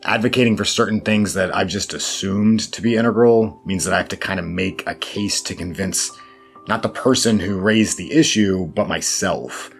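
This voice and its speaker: Low-voiced man, thoughtful and wry, over music. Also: moderate pace, gravelly. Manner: thoughtful, self-deprecating, wry asides. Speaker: interview guest, horror fiction writer. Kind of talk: reflective interview answers. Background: background music.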